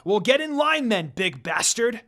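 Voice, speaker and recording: A woman voicing a brash, hot-headed young male half-demon warrior in a story reading, yelling and taunting. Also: mid-range voice, big pitch swings, a little slow, soft background music, voice pushed up. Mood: furious, battle shouting.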